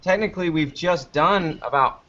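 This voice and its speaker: A man's voice: fairly high pitch, normal speed, fairly bright tone, slight background noise. A young American man chatting casually as an interviewed podcaster, with a joking, playfully melodramatic manner. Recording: dull lo-fi call-like audio.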